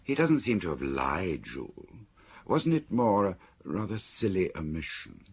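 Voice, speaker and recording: Very deep, gravelly detective's confidant. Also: male voice, big pitch swings, exaggerated voice. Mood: dry, probing. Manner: measured, probing. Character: male confidant of a detective, thoughtful, gently challenging. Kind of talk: radio drama dialogue.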